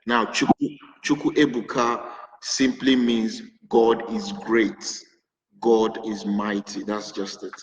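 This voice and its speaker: A man's voice: fairly low pitch, little pitch movement, slow pace, highs cut off. A Nigerian man whose first language is Igbo answers interview questions on a call, hesitant, with many restarts and fillers. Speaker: interview guest, web developer. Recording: roomy, echoey call audio with long reverb.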